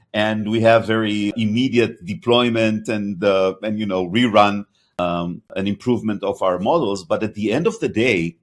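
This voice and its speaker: A man with a slight Hebrew accent hosting an interview podcast, talking in a halting way with many fillers and restarts. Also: on the low side. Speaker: podcast host. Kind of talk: interview conversation.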